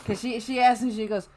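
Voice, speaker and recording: A woman's voice: on the high side, darkish tone. A woman hosting a casual livestream in a chatty, rambling, folksy way. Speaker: livestream host. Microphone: headset or USB microphone.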